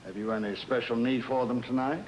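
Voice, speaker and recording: A man plays a possessive, menacing older husband in a vintage TV drama. He speaks formally and theatrically at a middling pitch, over faint noise on an old soundtrack. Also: steady tone, a little fast, natural voice, low-quality recording. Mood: cold, suspicious. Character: jealous, possessive older husband, menacing toward his wife. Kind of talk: old-time dramatic acting.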